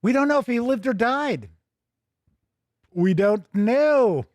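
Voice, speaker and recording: A man's voice: extremely high pitch, lively intonation, slow, darkish tone, no room sound. Chatty American man with a close dry sound. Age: middle-aged. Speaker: podcast host. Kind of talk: casual rambling chat.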